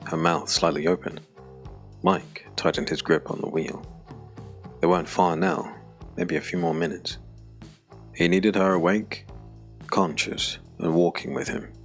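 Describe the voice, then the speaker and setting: A man narrating a scripted dark fantasy audiobook with a deep, gravelly voice.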